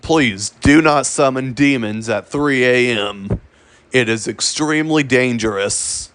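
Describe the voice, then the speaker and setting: A man narrating a scripted emergency broadcast in a formal, solemn and deliberate manner. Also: mid-range voice, a bit bright. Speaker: emergency alert announcer.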